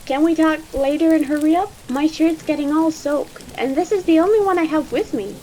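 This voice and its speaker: Woman playing an eager, very high-voiced kid. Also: fairly quick, slight background noise, lightly acted, rough recording. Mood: casual. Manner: excitable, casual. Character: eager, easygoing young child. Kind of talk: fan audio drama acting.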